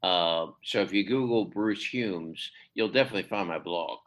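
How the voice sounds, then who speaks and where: A man speaking as a literary translator in a podcast interview, hesitant with many fillers and restarts, his pitch climbing sharply when he stresses a point. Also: moderate pace, a bit bright. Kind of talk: conversational interview.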